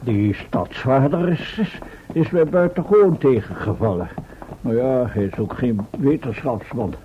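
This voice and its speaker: An actor playing a pompous, flustered professor in a radio drama, speaking formally and haltingly. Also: male voice, mid-range voice, expressive, lightly acted. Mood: musing. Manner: formal, halting, repeats his words. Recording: tinny, noisy old radio play tape.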